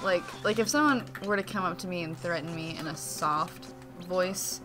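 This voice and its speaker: A man co-hosting a game playthrough, chatting and telling an animated story. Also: high voice, normal speed. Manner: animated storyteller, laughs easily. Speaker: let's play co-host. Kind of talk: casual chat over gameplay. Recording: faint game music behind.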